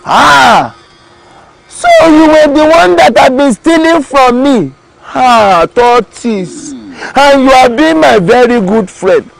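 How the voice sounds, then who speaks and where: A man's voice: very high-pitched, expressive, slow, vocal fry, faint music behind, pitched above the performer's own voice, exaggerated voice, rough recording. A man with a Nigerian accent voicing a wronged, indignant male snail farmer in a told folktale. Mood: betrayed. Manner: exclaiming, accusing, raised voice.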